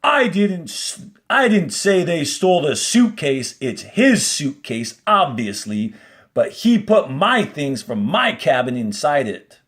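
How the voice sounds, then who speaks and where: A man's voice: on the high side, big pitch swings, takes it slowly, a bit bright, exaggerated voice. A man reading the part of an ill-tempered, devious female camp warden from a storybook, emphatic and accusatory. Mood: defensive.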